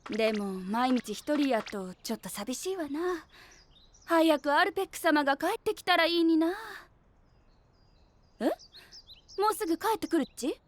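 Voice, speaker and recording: An actress playing a gentle, affectionate villager with a western Japanese dialect in an anime voice drama, warm and homely. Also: female voice, high voice, expressive, unhurried, pauses often. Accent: western Japanese regional dialect.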